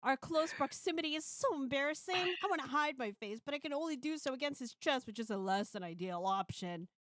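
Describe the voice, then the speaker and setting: A woman's voice: high voice, animated, fairly quick, fairly bright tone, no echo, clean studio sound. A woman streamer reading a visual novel's first-person narration dramatically, playfully breaking off for casual asides.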